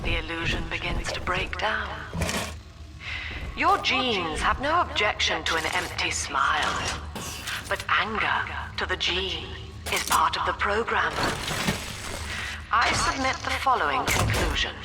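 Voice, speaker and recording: A woman's voice: fairly low pitch, expressive, loud music behind the voice. A woman playing a cold, cerebral ideologue in a game, delivering a detached philosophical lecture. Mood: cold, didactic. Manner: measured, didactic, detached. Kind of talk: scripted philosophical monologue.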